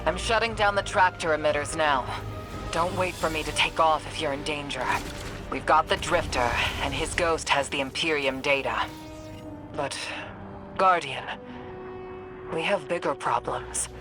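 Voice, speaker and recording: A woman playing a serious, guarded field operative in a sci-fi game, speaking gravely and purposefully. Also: sits low, a little slow, music in the background. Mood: grave, urgent. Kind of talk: dramatic video game dialogue.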